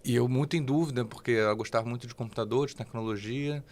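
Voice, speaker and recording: A man's voice: mid-range voice, fast, a little mellow. A man in his forties from Rio de Janeiro with a Carioca accent, a guest telling stories in a rambling way, with many restarts and tag questions. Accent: Carioca Portuguese. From Brazil, Rio de Janeiro. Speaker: guest, AI expert. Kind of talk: casual interview storytelling.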